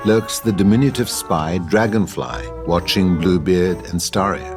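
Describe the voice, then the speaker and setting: Low-voiced man narrating over music. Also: measured pace. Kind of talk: scripted narration of stage directions.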